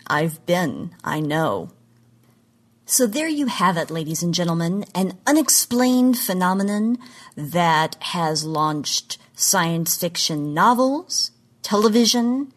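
A woman presenting a scripted podcast segment on genre history in an articulate, emphatic, lecture-like manner. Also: on the low side.

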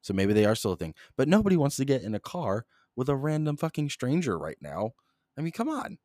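A young man hosting a solo podcast, rambling in a flat monotone, swearing constantly and jumping between topics, close to the mic. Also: medium pitch, brisk, no room sound. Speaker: podcast host.

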